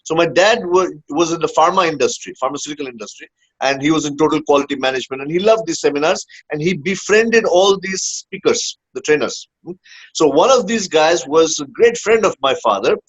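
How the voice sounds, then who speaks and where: A man's voice: fairly high pitch, animated, brisk. A man from India, a leadership coach telling his story in a casual interview, full of fillers and "huh?" tags. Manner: rambling, many "uh" fillers and tag "huh?". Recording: dull lo-fi audio.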